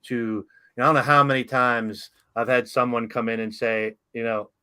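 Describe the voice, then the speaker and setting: A middle-aged man hosts a casual talk show interview. He tells long, rambling stories and gets openly emotional and excited. His voice sits at an ordinary pitch for a man. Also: normal speed, clear, resonant tone. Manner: enthusiastic, emotional, rambling storyteller.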